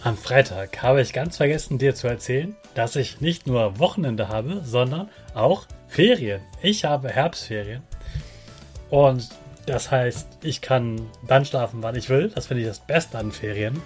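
A man's voice: mid-range voice, expressive, a little slow, soft background music. A man hosts a children's podcast, talking directly to the child in simple, encouraging, motivational words.

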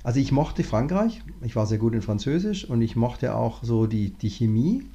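A man, a management consultant, talking through his career in a relaxed interview, thinking aloud with many restarts. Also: medium pitch, moderate pace, muffled recording. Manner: thoughtful, many fillers and restarts. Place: lives in Cape Town, remote interview.

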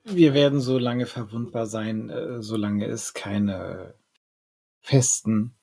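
A man as a slow, husky, cautious vampire. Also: fairly low pitch, warm tone, full character voice. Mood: thoughtful. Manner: hesitant, searching for words. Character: cautious, deliberating male vampire counsellor. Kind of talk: improvised tabletop role-play audio drama.

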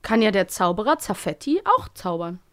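A woman co-hosting a podcast, chatting casually and retelling things in an animated way. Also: medium pitch, a little fast. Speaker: podcast co-host. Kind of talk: casual chat about children's audio plays.

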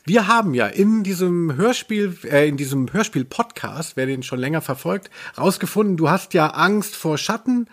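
A man with a fairly high voice hosts a podcast. He chats informally with his co-host, playful and a little teasing in the way he talks. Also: expressive, normal speed, few pauses. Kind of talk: casual podcast chat.

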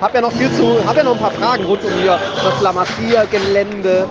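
A man's voice: high-pitched, steady tone, brisk. A man giving informal football commentary into a handheld recorder from an outdoor stadium, as a podcast host on site. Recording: muffled, very noisy stadium crowd recording. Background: loud football fans and crowd.

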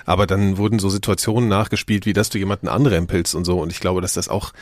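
A man's voice: low voice, fairly even intonation, fast, no room sound. A man chatting informally and anecdotally as a podcast panelist. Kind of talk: casual podcast discussion.

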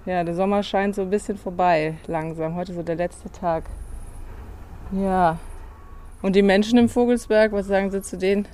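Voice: medium pitch, fairly even intonation, normal speed, darkish tone. A podcast interviewer and narrator who moves between conversational questions and reflective storytelling.

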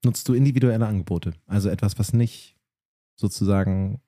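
A man's voice: on the low side, fairly quick, warm tone, no room sound, studio quality. A man interviewing two guests in a casual way, probing with chatty follow-up questions.

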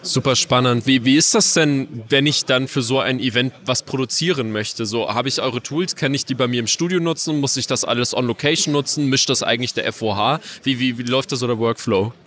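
A man interviewing a guest at a trade fair, curious and asking quick follow-up questions. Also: mid-range voice, fairly bright tone.

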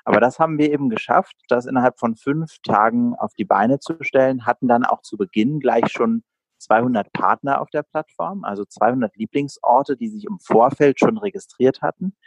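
A man's voice: medium pitch, normal speed, husky, no echo, low-quality recording. A man in his late twenties from Berlin, answering interview questions conversationally as a guest entrepreneur. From Berlin, Germany.

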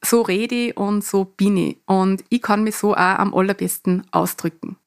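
A middle-aged woman from Lower Austria with a Mostviertel dialect accent, earnest, hosting a solo coaching podcast. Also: fairly even intonation, moderate pace, gravelly, clear, resonant tone. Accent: Mostviertel Austrian dialect with a touch of Salzburg. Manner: earnest, speaks in dialect rather than standard German.

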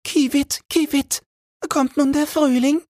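Man putting on a tiny high-pitched chirping sparrow. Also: steady tone, takes it slowly, darkish tone, dry recording, clean studio sound. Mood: eager, curious. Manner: chirping, self-assured storybook bird talk. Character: small cheeky sparrow, chirpy and opinionated. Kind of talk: character voice in a fairy tale audiobook.

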